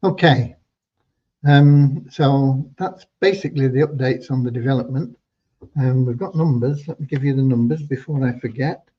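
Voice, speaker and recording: A man with a mellow, slightly hoarse voice hosts an informal online update for members. His delivery is halting and rambling, often doubling back on words. The audio is dull and lo-fi. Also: mid-range voice. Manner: rambling, halting, with hesitant repeats. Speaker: host giving business updates to members.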